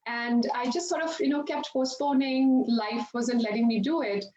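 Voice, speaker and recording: A bright, higher-pitched woman tells stories in a chatty way, laughing easily as she talks. She is on a video call from her home yoga studio. The room sounds echoey, and the audio is dull with faint hiss underneath. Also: fairly even intonation, moderate pace. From India. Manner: chatty and warm, laughs often while telling stories. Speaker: yoga and meditation instructor, guest. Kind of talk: casual interview storytelling.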